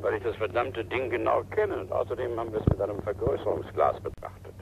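Stubborn retired colonel: lowish gravelly voice, curt, irritable, radio play with faint hiss. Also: male voice, lively intonation, fast, highs cut off. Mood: irritated, sure. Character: gruff, irritable retired colonel and art collector. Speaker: actor. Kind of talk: German radio crime drama acting. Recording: slightly noisy old radio play recording.

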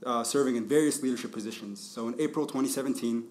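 A man's voice: medium pitch, fast, darkish tone, poor audio. A man hosting a podcast interview, upbeat and friendly, laughing often as he introduces his guest. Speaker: podcast host.

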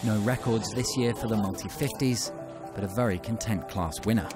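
A man with a fairly low voice reads a race documentary in a steady, measured way. music plays clearly underneath the studio voice-over. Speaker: narrator. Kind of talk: scripted documentary narration.

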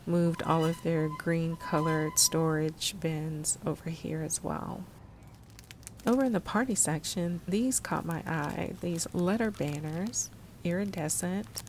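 A woman vlogger giving a casual, unhurried, descriptive narration of what she is showing while shopping. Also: low-pitched, a little mellow, slight background noise.

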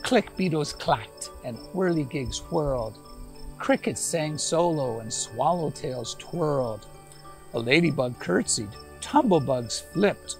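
Slow-reading older man, storybook narrator. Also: on the high side, animated, soft background music. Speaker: storytime reader. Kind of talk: reading a rhyming children's picture book aloud. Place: outdoors in a green garden. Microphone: lapel mic.

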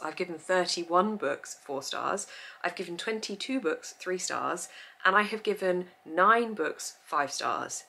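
A woman's voice: on the low side, vocal fry, bright, forceful tone. A young woman, a book vlogger chatting upbeat to camera at home, laughing often as she goes through her reading. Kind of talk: casual chat to camera. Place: home room with full bookshelves.

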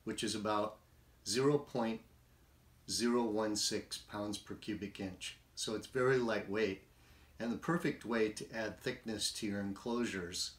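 A man's voice: lowish voice, fairly bright tone. Echoey middle-aged man presenting a tutorial. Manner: measured, deliberate, technical. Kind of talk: scripted instructional presentation. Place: small indoor workshop with bare walls. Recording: roomy, echoing workshop sound.

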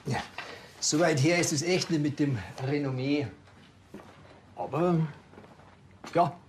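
An actor playing an uneasy, hesitant town culture official in a TV crime series. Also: male voice, fairly high pitch, fairly even intonation, slow, slight background noise. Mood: guarded, uneasy.

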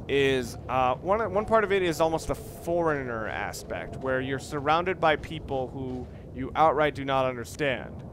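A man giving casual, rambling commentary on a video game, often repeating and rephrasing himself. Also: a little high. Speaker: Let's Play commentator. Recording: noisy, game sounds behind the voice. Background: video game ambience.

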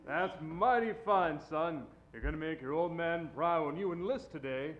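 A man's voice: a little high, expressive, normal speed, highs cut off, natural voice. A man playing a folksy, proud, patriotic gym enthusiast in a 1940s-style radio advert, with exaggerated enthusiasm. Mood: proud, hearty. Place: indoor theatre stage.

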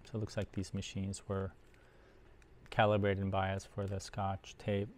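A middle-aged man giving casual, unhurried unboxing commentary, thinking aloud as he handles things in a workshop. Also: sits low, monotone, darkish tone. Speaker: host of an audio gear unboxing video. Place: indoor workshop at a workbench.

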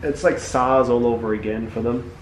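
A man's voice: mid-range voice, fairly even intonation, normal speed. Young man, podiatrist, casual chat, easygoing, says "like" often, roomy echo, background noise. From Hong Kong, moved to New Zealand young. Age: young adult. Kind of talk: casual chat with a patient while working. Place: indoor treatment room.

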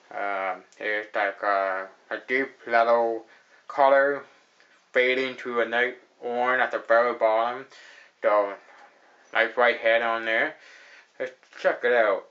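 A man's voice: medium pitch, bright, forceful tone. Young man, casual beer review, rambling, filler words, dull lo-fi audio, small room. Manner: rambling, repeats "actually", lots of fillers. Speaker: host of a beer review show. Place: small room indoors at a table.

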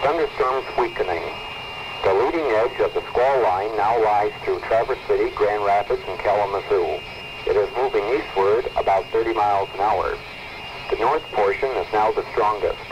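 Low-voiced man on a noisy old weather radio. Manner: formal, measured phrasing. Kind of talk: scripted weather bulletin reading. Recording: muffled, noisy 1970s weather radio recording.

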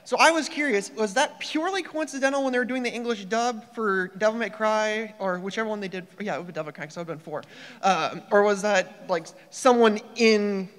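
Male audience member, high-pitched, eager and wordy, casual question, echoing hall acoustics. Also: brisk, fairly bright tone. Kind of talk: casual fan question at a microphone. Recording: echoey convention hall sound.

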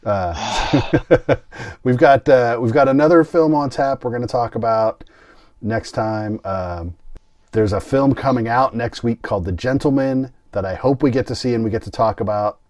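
A man's voice: medium pitch, normal speed, a little mellow, dry recording, studio quality. A man co-hosting a casual film review podcast, talking in a wry, easygoing way. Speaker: podcast co-host.

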